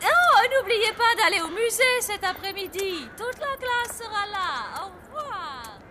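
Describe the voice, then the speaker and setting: A very high-pitched, proper cartoon lady. She is fussy, polite and animated, over clear background noise. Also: unhurried, in a large hall, full character voice. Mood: brisk. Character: concerned, proper adult woman in a children's cartoon. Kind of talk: cartoon dubbing.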